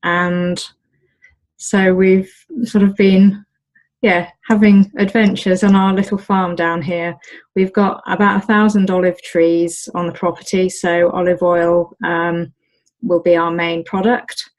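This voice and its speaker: A British woman talking casually in an interview as the owner of an olive grove, pausing often with ums and restarts. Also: lowish voice, level tone, a little mellow, poor audio. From United Kingdom. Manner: hesitant, many ums and restarts.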